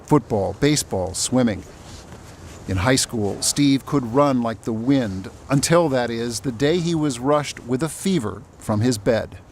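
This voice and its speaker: A man reading scripted documentary narration in a measured, even tone. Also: mid-range voice, a little hiss or hum.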